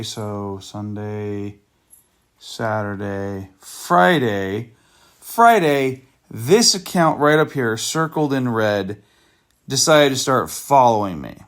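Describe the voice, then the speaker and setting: A man's voice: medium pitch, animated, slow. A man hosting a video and talking casually through screenshots of a chat, with dry, sarcastic asides and a loose, meandering delivery. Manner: loose, meandering with fillers and restarts. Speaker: channel host exposing a scammer.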